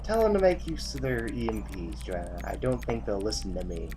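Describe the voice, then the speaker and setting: A man's voice: fairly low pitch, very animated, brisk. The stern officer in a sci-fi radio play's command room, a man acting, exasperated and formal, voice swinging sharply over a music bed. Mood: grave, commanding. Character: weary, exasperated male army general of an idealistic rebellion. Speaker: actor in an audio drama. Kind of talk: audio drama acting.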